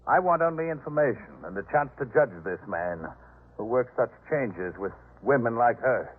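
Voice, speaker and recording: Proud biblical householder, swooping voice, old radio. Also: male voice, mid-range voice, expressive, moderate pace, slightly rough. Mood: haughty, commanding. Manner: haughty, theatrical, emphatic. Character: proud, indignant, disdainful male head of household in biblical times. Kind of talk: 1950s radio drama acting. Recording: muffled narrowband 1950s radio recording.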